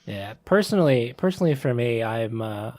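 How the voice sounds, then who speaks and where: A man's voice: mid-range voice, animated, normal speed, a little mellow, no room sound. A man co-hosting a podcast in casual chat, hesitant with many fillers. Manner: hesitant, many "uh" and "um", asks questions. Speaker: podcast co-host.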